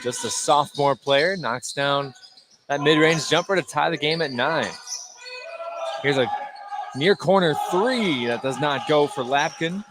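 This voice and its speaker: A man calling live high school basketball play-by-play as a radio commentator. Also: unhurried. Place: indoor basketball gym during a game. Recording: noisy gym ambience, bouncing basketballs. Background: loud gym ambience, basketball bounces.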